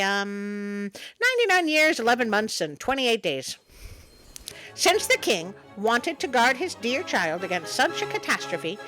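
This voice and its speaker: A woman's voice: on the high side, bright, forceful tone, poor audio. A woman hosting a story show, reading a tale aloud with playful, wry asides.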